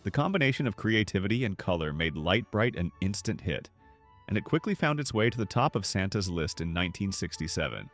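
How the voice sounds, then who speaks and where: A man reading a scripted, nostalgic documentary narration in a measured, polished way. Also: low-pitched, sing-song, a bit bright. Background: background music.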